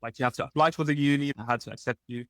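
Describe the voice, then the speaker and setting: A young Lebanese man speaks English as a second language, with Arabic as his first, in a medium-pitched voice. He talks candidly and often breaks off to rephrase. The sound is dry and close, with no room echo. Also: steady tone, very fast, fairly bright tone. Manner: candid, restarts and self-corrections. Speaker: guest, pharmacist. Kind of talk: casual interview talk.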